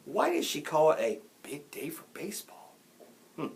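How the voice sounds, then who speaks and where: A man voicing an earnest, puzzled young boy musing quietly to himself in a children's storybook. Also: a little high, huge pitch swings, moderate pace, vocal fry, pitched above the performer's own voice, full character voice. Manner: hushed, musing asides. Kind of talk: children's storybook read-aloud.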